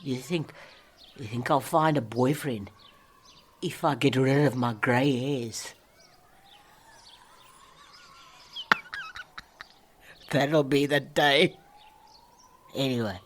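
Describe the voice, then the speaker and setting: A woman's voice: extremely low pitch, slight background noise, full character voice. An actress playing an ageing, down-and-out South African woman, feisty and lonely, rambling and muttering to herself in a radio play. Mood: wistful, muttering. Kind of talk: dramatic monologue in a radio play.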